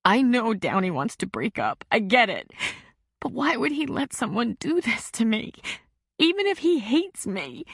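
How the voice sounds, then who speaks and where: A woman's voice: fairly high pitch, big pitch swings, unhurried, vocal fry, fairly bright tone, breathy edge, dry recording, studio quality, pitched above the performer's own voice, exaggerated voice. A woman voicing an upset, humiliated teenage girl who sounds small and tearful. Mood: distraught.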